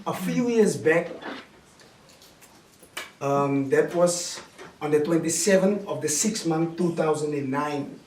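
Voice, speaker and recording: A man in his late twenties with a Cape Town South African accent, giving a personal testimony in a preaching, storytelling way, in deliberate phrases with repetition. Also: a little high, a little mellow. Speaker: guest speaker giving testimony. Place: indoor room with small seated audience. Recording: roomy, distant camera mic with noise.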